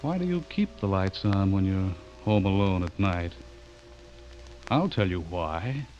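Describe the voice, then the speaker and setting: An actor playing an intense horror writer with an insinuating manner, in an old-time radio drama style. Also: male voice, low voice, lively intonation, a little slow. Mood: sinister, taunting. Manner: insinuating, menacing when reciting. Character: intense male horror writer, sinister when reading aloud. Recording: muffled old radio recording, loud music behind.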